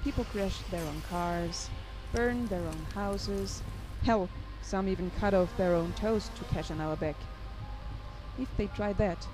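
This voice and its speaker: A cool, calculating head of fraud investigation, voiced by a woman, sardonic about the people she catches. Her voice sits mid-range. Clear music runs under the narration. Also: medium pitch, unhurried, lightly acted. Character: ambitious female insurance fraud investigator, cool and scheming. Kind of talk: game intro voice-over narration.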